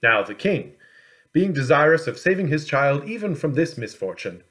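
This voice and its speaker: A man narrating a storybook as an audiobook reader, in a measured, expressive storytelling manner. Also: fairly high pitch.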